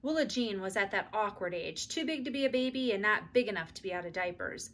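A middle-aged woman reading a children's book aloud in expressive storybook narration, recorded in a room at home. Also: mid-range voice, brisk, a little hiss or hum. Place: small indoor room at home.

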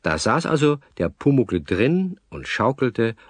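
Husky, lively male storyteller. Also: medium pitch, measured pace, no echo. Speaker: narrator. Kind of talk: scripted children's story narration.

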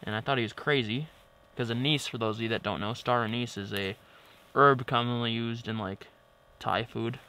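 A young man talking casually as he fishes from a small ice tent, relaxed and chatty. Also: medium pitch, moderate pace. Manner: relaxed, chatty, gets excited when fish bite. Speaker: outdoor vlogger. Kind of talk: casual vlog narration. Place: small ice fishing tent on a frozen lake. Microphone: camera mic, close.

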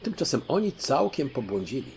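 Gravelly older man telling a children's story. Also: mid-range voice, sing-song, brisk, darkish tone, slight background noise. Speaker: audiobook narrator. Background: music.

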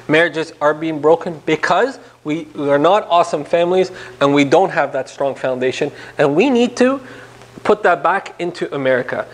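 A man with a notably high-pitched voice gives an informal church lecture. He talks like a priest addressing a small group, animated and conversational, and keeps putting questions to his listeners. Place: small indoor meeting room.

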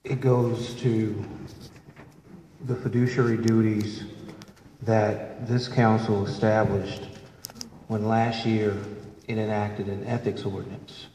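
City attorney guy, slow and deliberate, low mellow voice, roomy chamber. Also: flat delivery, a little hiss or hum. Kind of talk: formal legal remarks at a meeting. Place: large council chamber with wood panels. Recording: echoey council chamber.

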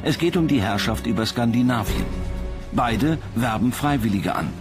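A man's voice: mid-range voice, expressive, normal speed, over music. A man reading scripted documentary narration.

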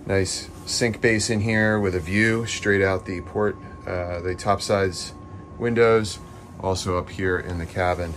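A man's voice: sits low, fairly even intonation, measured pace, a bit bright, slight background noise. A middle-aged man and yacht broker giving an enthusiastic, casual walkthrough of a sailboat, with frequent fillers, filmed on a handheld phone at a boat show. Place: on a sailboat at a dockside boat show, outdoors and in the cabin. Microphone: handheld phone camera.

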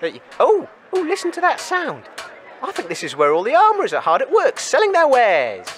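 A man acts out an eager roving reporter in a comic radio drama. The voice sits high and swoops wildly up and down. He is flustered, hesitant and excitable. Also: brisk, a little hiss or hum, heavily acted. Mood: curious, bemused. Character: excitable, bumbling male wasteland radio reporter. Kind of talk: comedic radio show reporting.

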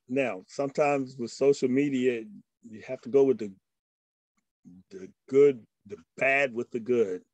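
An older man giving an informal presentation in a halting way over a video call. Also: medium pitch, darkish tone, dry recording. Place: indoor room at home, video call. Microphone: computer microphone on a video call.

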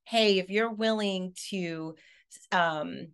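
A woman's voice: mid-range voice, slow, clear, resonant tone. A woman hosting a video call interview, enthusiastically summing up her guest's points. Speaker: podcast host. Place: home office indoors. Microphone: video call webcam.

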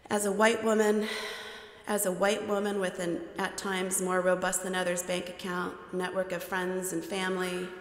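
A woman's voice: fairly low pitch, completely flat delivery. Woman preaching in a reverberant church. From United States. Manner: measured, deliberate cadence with lists. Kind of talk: sermon. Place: large church sanctuary. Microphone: headset mic at a pulpit. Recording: echoey church hall with long reverb.

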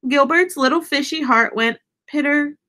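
A woman's voice: sits high, normal speed, bright, forceful tone. A woman in her thirties reads a children's storybook aloud, expressively and with dramatic emphasis for young listeners. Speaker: teacher reading a picture book aloud. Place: small indoor room, seated.

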